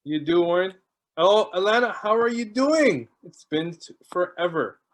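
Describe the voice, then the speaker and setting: A man's voice: high voice, lively intonation, measured pace. A man hosting an online video call, chatting casually and greeting people one by one while he jokes about stalling. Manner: chatty, joking, warm greetings to each arrival. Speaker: host.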